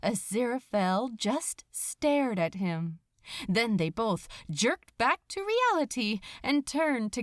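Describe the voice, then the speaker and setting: A woman reads fiction aloud as a dramatic storyteller. Her voice sits a little high and moves very expressively, taking its time in a dry, close recording. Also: no echo. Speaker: podfic narrator. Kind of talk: expressive scripted fiction narration.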